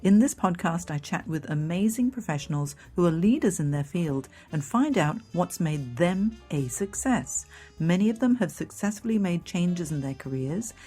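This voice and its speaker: A woman's voice: on the low side, lively intonation, normal speed, dark tone, slightly rough, faint music behind. A middle-aged woman from Hong Kong hosting a careers podcast, upbeat and enthusiastic as she interviews a guest. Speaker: podcast host, career coach. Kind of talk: scripted intro then interview chat.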